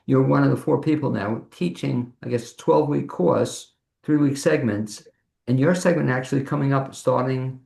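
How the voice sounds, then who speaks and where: Male host with a fry-laden mid-pitched voice, asking casual interview questions, roomy echoing sound. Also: moderate pace, warm tone.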